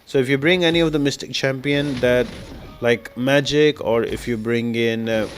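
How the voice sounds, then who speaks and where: A man's voice: mid-range voice, steady tone, normal speed. Male game-guide narrator, mid-range voice, explanatory and methodical delivery, with some noise behind the voice. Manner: instructional, step-by-step. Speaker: gaming guide host. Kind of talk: game walkthrough commentary, explanatory.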